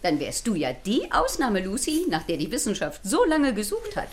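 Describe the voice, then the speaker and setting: A woman's voice: mid-range voice, huge pitch swings, normal speed, darkish tone, slight background noise. Flamboyant, mocking lady doctor, wildly swooping. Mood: mock curious. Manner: theatrical, sneering, grandly pronounced. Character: flamboyant, mocking female doctor teaching schoolchildren about fear. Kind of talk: children's radio drama acting.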